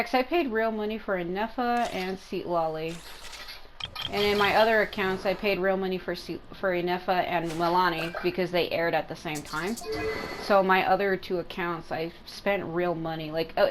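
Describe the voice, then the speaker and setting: Female let's-play gamer, slightly low register, informal and repetitive rambling commentary, prominent game music behind. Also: poor audio. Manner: repetitive, informal, swears casually. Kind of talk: casual rambling gameplay commentary.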